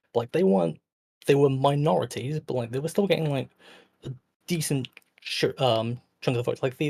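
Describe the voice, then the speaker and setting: Sleepy guy on a call, raspy kinda fry-y voice, lots of ums and likes. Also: medium pitch, dark tone. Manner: hesitant, filler-heavy, restarts often. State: tired. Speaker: guest. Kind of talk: casual debate chat.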